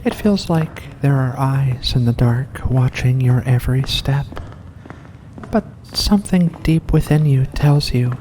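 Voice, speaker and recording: A performer voicing a mysterious, seductive vampire in a slow, deliberate ASMR roleplay, courteous and teasing. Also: medium pitch, warm tone, close to an everyday voice, rough recording. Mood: eerie, hypnotic. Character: seductive mysterious vampire host luring in a guest. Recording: faint background noise.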